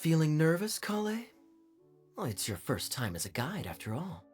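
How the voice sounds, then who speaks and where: A woman's voice: deep voice, sing-song, normal speed, darkish tone. A woman voicing a poised, considerate young lady in an anime game, polite and articulate. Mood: gently teasing. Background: game music.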